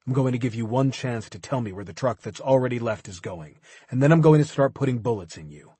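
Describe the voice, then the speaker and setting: Guy doing a cold controlled agent, calm threats, husky and dry. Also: medium pitch, fast talker, dry recording.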